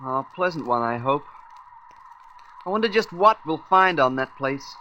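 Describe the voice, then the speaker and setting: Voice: mid-range voice, expressive, a little slow, bright, forceful tone, dull audio, a little hiss or hum. A performer playing a worried space crew member in a radio serial, with a hesitant, faltering manner. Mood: wary hope.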